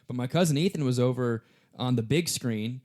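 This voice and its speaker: A man's voice: mid-range voice, moderate pace, a little mellow. A young American man co-hosting a podcast, chatting and telling anecdotes in an informal, slangy, sweary way. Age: young adult. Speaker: podcast co-host. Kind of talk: casual chat and anecdotes.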